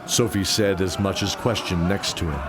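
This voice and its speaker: Low-voiced man narrating a fantasy adventure. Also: steady tone, normal speed, background noise. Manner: dramatic storytelling. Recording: music bed under narration. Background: music.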